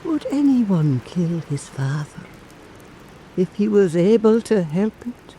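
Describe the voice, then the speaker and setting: An actor playing an earnest old islander who tells folk anecdotes in a measured radio drama cadence. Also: mid-range voice, sing-song, warm tone, a little hiss or hum, rough recording. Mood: solemn, pleading. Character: old island storyteller, earnest, compassionate toward wrongdoers.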